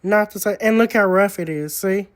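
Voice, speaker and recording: A man's voice: sits high, normal speed. A man presenting an informal, hands-on demonstration of antique recording equipment, explaining each step as he goes.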